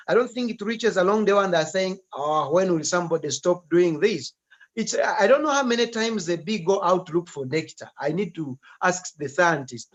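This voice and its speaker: A man hosting a live-streamed talk, urging viewers to comment in an animated way. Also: high voice. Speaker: host. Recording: dull lo-fi sound.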